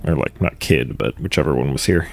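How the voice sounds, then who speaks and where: Deep gravelly man musing over a game. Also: a little fast, a little mellow, a little hiss or hum. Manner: offhand, musing. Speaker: streamer. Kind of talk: casual live commentary over gameplay.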